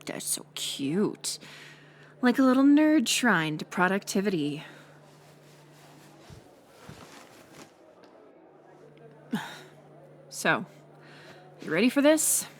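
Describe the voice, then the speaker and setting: A young woman playing a mocking college bully in an audio roleplay, sarcastic and teasing, with a hidden softer side. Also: medium pitch, sing-song, takes it slowly, pauses often, slight background noise. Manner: sarcastic, teasing, scoffing with sighs and breathy asides. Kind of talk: audio roleplay acting, intimate one-sided dialogue.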